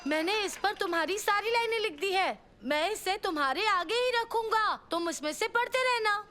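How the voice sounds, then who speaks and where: A woman voicing a small, helpful young boy in an animated cartoon, with a piping childlike voice. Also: very high-pitched, normal speed, full character voice. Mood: helpful, urging.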